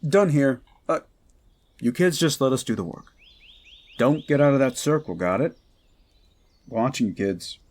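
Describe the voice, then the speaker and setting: A man's voice: medium pitch, lively intonation, measured pace, pauses often, darkish tone, natural voice. A man playing an earnest, devout ghost hunter in an audio drama, conversational and a little rambling. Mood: casual. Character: earnest, devout male ghost hunter with folk-religious methods.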